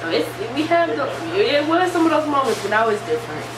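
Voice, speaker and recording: Animated young woman, echoey distant recording. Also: high voice, quick pace, crowd noise. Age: young adult. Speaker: vlogger. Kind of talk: casual chat. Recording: distant mic, echoey room, steady hiss.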